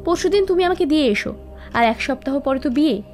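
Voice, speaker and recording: High-pitched spiteful nagging young wife. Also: female voice, lively intonation, fairly quick, a little mellow, soft background music. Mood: demanding, nagging. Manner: shrill, nagging, dramatic complaining. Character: spiteful scheming young village wife and sister-in-law. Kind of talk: animated cartoon moral-story acting.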